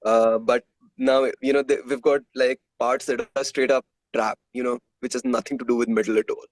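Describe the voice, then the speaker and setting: A man's voice: medium pitch, little pitch movement, moderate pace, no room sound, low-quality recording. A man with an Indian accent talking casually as a musician being interviewed.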